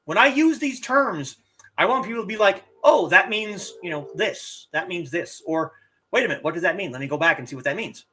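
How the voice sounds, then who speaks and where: A middle-aged martial arts teacher chatting casually on a live stream, reading viewer comments and sounding out words with animated emphasis. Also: mid-range voice. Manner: animated, drawn-out demonstrations of word sounds.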